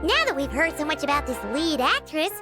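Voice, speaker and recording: A woman's voice: sing-song, a little fast. A woman voicing a tiny, chirpy and curious girl companion in a game. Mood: excited. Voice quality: very high, bouncy, childlike voice. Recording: game soundtrack music behind.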